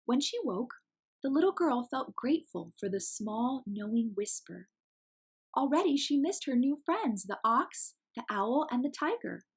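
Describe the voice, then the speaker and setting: A woman reading a picture book aloud as an expressive storyteller for children. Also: a little high, a little slow, husky.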